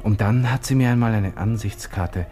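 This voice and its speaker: An actor playing a brash, bored young Viennese army lieutenant, musing snappishly in a radio drama interior monologue. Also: male voice, on the low side, normal speed, dark tone, close to an everyday voice. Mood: reminiscing. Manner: musing, snappish, self-important. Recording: music behind, 1960s radio studio recording.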